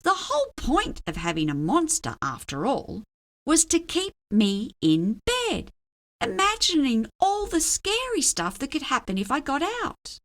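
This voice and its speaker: Woman doing a kids read aloud, unhurried, really lively pitch, expressive storyteller. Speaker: storybook reader.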